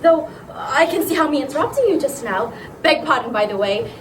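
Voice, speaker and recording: A woman's voice: high-pitched, expressive, brisk. A woman playing a humble, polite farm schoolgirl in a theatrical comedy, deferential and chatty but innocently evasive. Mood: polite, matter-of-fact. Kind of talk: theatrical comic drama. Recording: roomy, distant, clearly noisy recording.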